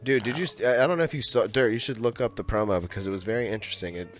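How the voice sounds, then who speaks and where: A man's voice: medium pitch, very fast, soft background music. A young man, chatting loosely on a podcast, rambling with lots of fillers. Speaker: podcast co-host. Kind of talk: casual chat.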